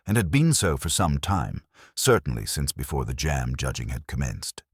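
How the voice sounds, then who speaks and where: Very deep-voiced male mystery narrator. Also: slightly rough, dry recording, studio quality. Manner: measured, wry, formal storytelling. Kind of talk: scripted narration of a period mystery story.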